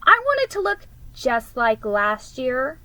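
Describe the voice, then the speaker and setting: Woman as an earnest young girl, high-pitched. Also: very animated, slow, bright, forceful tone, dry recording, exaggerated voice. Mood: earnest, protesting. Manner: earnest, protesting.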